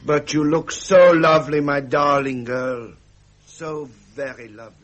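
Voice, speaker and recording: An actor with a British accent playing a self-pitying, pleasure-loving prince in a period drama. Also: male voice, fairly high pitch, fairly even intonation, a little slow, a bit bright. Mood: fond impatience.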